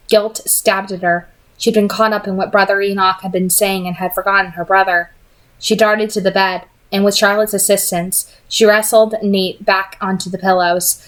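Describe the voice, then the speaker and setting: A woman reading a novel aloud in an expressive amateur audiobook style. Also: mid-range voice, poor audio. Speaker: reader of a novel read-aloud.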